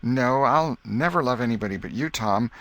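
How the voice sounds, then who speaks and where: A man's voice: medium pitch, animated, normal speed, a bit bright, voice pushed up. A man voicing a tender, easily hurt little schoolgirl with simple, girlish speech in an audiobook. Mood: shyly delighted. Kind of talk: audiobook character reading.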